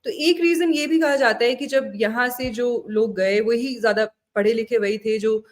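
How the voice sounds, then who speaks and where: A woman's voice: a little high, a little slow. An Indian woman hosting a political interview, speaking conversationally and mixing in English words. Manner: mixes Hindi-Urdu with English words. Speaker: interview host.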